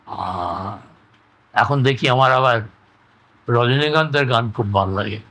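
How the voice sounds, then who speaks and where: Elderly man, medium pitch, reflective, halting, repeats words, conversational reminiscing. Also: sharp tone, rough recording. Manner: halting, reflective, trailing into repetitions. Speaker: interview guest, retired engineer recalling life. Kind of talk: reminiscing in casual oral-history conversation.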